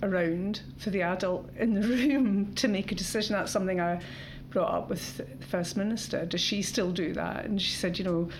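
A woman's voice: medium pitch, moderate pace, few pauses, slight background noise. A woman in her fifties interviewing a guest, chatty and drawing her out with personal questions. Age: 50s. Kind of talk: conversational interview.

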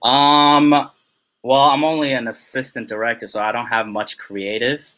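A young man speaks as a podcast guest in a bright, forceful voice. He rambles and pads his sentences with "you know" and "um". The talk is relaxed and conversational. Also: medium pitch, moderate pace. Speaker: podcast guest, film assistant director. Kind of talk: casual interview chat.

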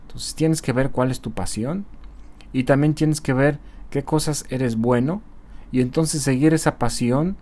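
A man, speaking at a mid pitch in a relaxed, conversational way. He talks like a YouTuber encouraging his viewers, dropping in frequent tag questions and hesitation fillers as he thinks aloud. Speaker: social media marketer and YouTuber. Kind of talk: casual motivational monologue.

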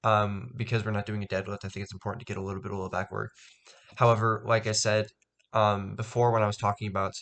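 A man's voice: lowish voice, little pitch movement, fast talker, a bit bright. A young man hosting his own fitness podcast, talking casually with lots of ums and restarts, a bit stuffed up, on a poor microphone. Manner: rambling, many ums and restarts. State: stuffed up nose. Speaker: podcast host. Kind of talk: casual solo podcast talk.

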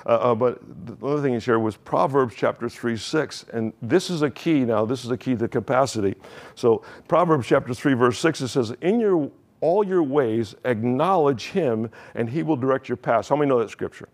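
A man's voice: medium pitch, moderate pace. A male pastor preaching a sermon in a conversational way, asking the congregation questions and often restarting his phrases.

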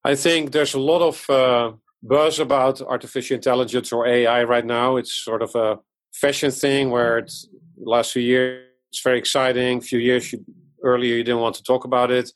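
A man's voice: medium pitch, flat delivery, normal speed. A middle-aged man from the Netherlands with a Dutch accent, a surgeon and company founder answering podcast interview questions in a thoughtful, explanatory way with frequent restarts.

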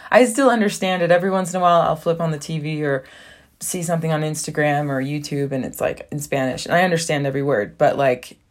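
A woman's voice: low voice, fast talker. A young woman hosting a casual solo podcast from a small bathroom at home, rambling with lots of fillers and frequent laughs. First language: English. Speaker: podcast host.

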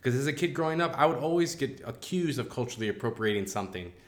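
A man who works as an art educator, chatting casually and quickly, with lots of "like" fillers. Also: medium pitch, expressive, echoey room. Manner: fast, chatty, many "like" fillers. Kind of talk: casual conversation.

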